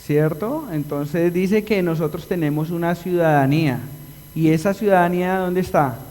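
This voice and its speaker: A man preaching a live sermon in a chapel, explaining a text and asking the congregation questions, drawing out key words slowly. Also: fairly high pitch, darkish tone. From Colombia. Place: church chapel. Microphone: livestream recording. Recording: long reverb of a church hall.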